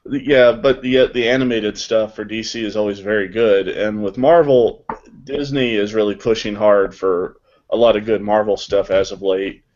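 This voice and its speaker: Low-voiced wry man, muffled lo-fi audio. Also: steady tone, moderate pace, darkish tone. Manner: wry, self-deprecating. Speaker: voice actor on a panel. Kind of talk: casual unscripted panel chat.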